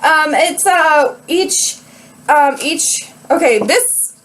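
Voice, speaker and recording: A woman's voice: high-pitched, animated, slow pace, a bit bright, slight background noise. A young woman co-hosting a casual chat show, giggly and rambling, with lots of fillers. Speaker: podcast co-host.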